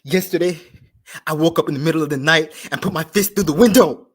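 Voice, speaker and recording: A man performing a dramatic monologue as a bitter, grieving young man, emotional and halting with heavy pauses. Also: on the high side, breathy edge, pitched above the performer's own voice. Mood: distraught.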